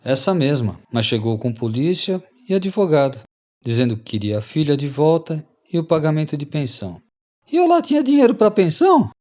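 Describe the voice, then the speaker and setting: A man acts out a reformed Brazilian freeloader confessing to old friends in a radio play. His voice is dark and mellow. The recording is slightly dull and lo-fi. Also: on the high side, big pitch swings, brisk. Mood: rueful. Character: repentant rogue and former freeloader confessing to friends. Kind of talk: radio drama storytelling.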